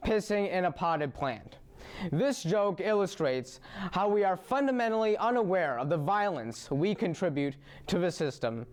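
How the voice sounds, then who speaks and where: A young man with a smooth, clean voice reads a prepared opinion piece in a close voice-over. His voice sits a little high for a man. He paces each sentence with earnest, deliberate care, like a student commentator making a case. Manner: earnest, measured, deliberate delivery. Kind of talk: reading an opinion essay.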